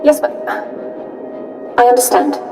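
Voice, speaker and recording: A woman's voice: unhurried, music in the background, natural voice. A woman playing an uneasy, guarded ship's officer in a video game, earnest and businesslike. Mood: uneasy, firm. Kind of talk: scripted video game acting. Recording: slightly distant from the mic.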